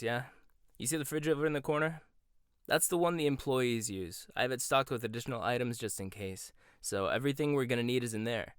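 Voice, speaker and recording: A man's voice: medium pitch, smooth, no room sound, lightly acted. Man playing a smug rival chef, close and dry. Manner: teasing, smug, laughs often. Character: cocky rival male chef, condescending and competitive. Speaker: voice actor. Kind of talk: boyfriend ASMR roleplay.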